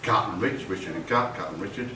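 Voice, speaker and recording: A man's voice: low voice, quick pace, over a noisy crowd. An older man giving a jokey, rambling father-of-the-bride wedding speech, often correcting himself as he goes. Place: wedding reception hall. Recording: echoey hall from far off, noisy.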